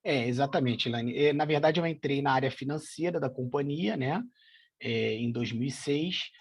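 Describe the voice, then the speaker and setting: A man's voice: mid-range voice, normal speed, slightly rough. A middle-aged man from São Paulo with a Carioca accent, answering interview questions in a hesitant way with lots of fillers. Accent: Carioca (Rio de Janeiro). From São Paulo, Brazil. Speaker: guest, association president.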